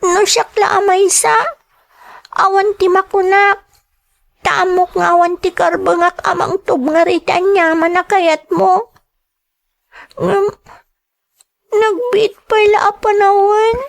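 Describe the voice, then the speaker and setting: A woman's voice: very high-pitched, flat delivery, takes it slowly, creaky, long pauses, exaggerated voice. A woman playing a timid, grieving young daughter in an Ilocano audio drama, with a hesitant delivery. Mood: tearful reproach.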